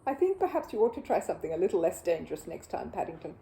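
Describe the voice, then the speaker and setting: A woman's voice: fairly low pitch, sing-song, rapid-fire, warm tone. A woman voicing a genial, good-natured family man in a children's storybook. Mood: tactful. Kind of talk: children's storybook character reading.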